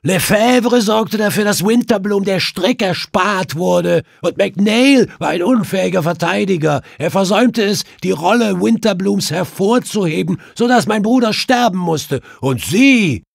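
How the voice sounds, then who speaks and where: A man as a vengeful, spitting villain, high and strained. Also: lively intonation, unhurried, vocal fry, few pauses, no room sound, studio quality, full character voice. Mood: hateful fury. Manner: bitter, spitting, accusing. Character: hate-filled vengeful male sailor, a captured villain.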